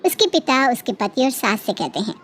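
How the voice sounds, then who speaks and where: A woman's voice: a little high, very animated, normal speed, fairly bright tone, a little hiss or hum. A woman narrator reading a scripted family story.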